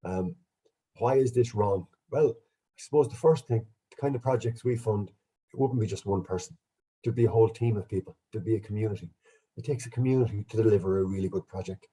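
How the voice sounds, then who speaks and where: Irish man, fairly low and very dark, husky and congested with a cold, easy chatty lecturing manner over a video call. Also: a little fast, rough recording. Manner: relaxed, chatty, self-deprecating. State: bunged up with a cold. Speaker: guest speaker, archaeologist. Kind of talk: online lecture with slides.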